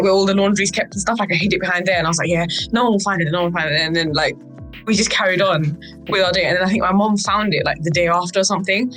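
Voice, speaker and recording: A woman's voice: medium pitch, poor audio. A young British woman telling personal anecdotes in a casual, chatty way, peppered with "like" and "right?". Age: young adult. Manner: animated, quotes people in acted voices, frequent "like" and "right?". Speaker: youth board podcast speaker.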